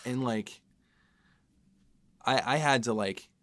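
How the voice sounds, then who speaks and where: A man's voice: medium pitch, slow, fairly bright tone, a little hiss or hum. A man talking casually as a podcast host and writer, with a self-deprecating, joking manner. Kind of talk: casual panel discussion.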